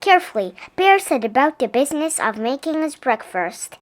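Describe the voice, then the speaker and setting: A woman's voice: high-pitched, sing-song, moderate pace. A woman reading a picture book aloud expressively for young children. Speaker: storybook reader.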